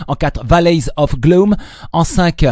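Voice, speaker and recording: A man's voice: on the high side, lively intonation, a little mellow, dry recording, studio quality. A man with a French accent hosting a live radio interview, switching between French and English and stumbling over foreign titles. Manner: stumbling, self-correcting on foreign titles, quick laughs. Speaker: radio host.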